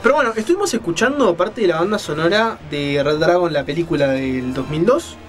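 Higher-pitched man explaining over music. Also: animated, a little fast. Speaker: radio show host reviewing books and films. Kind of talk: conversational book and film commentary.

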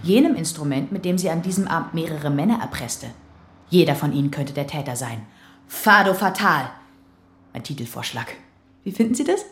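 A woman's voice: deep voice, animated, moderate pace, fairly bright tone. An actress playing an eager, stubborn woman in a radio drama, explaining things in an earnest, insistent way. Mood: enthusiastic. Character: eager, stubborn, keen female amateur crime novelist. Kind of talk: German radio drama acting.

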